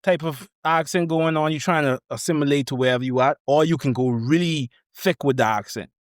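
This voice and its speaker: A man's voice: a little high, a little fast, no room sound, studio quality. A young man with a Bahamian accent hosting a podcast, teasing his guest and laughing a lot in casual chat. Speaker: podcast host.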